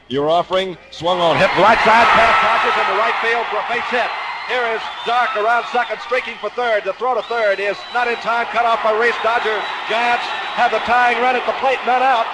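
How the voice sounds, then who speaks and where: Male vintage baseball play-by-play caller, higher-pitched, theatrical wording, noisy old radio sound. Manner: dramatic, florid phrasing. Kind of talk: 1950s radio sports commentary. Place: outdoor ballpark broadcast position. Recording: noisy 1950s AM radio broadcast.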